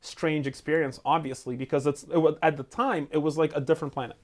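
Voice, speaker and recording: A man in his forties, from Ukraine with Russian as his first language, telling his story as a guest in a casual interview and acting out quoted lines. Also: a little high. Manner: fast, animated, acts out quotes. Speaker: guest, entrepreneur.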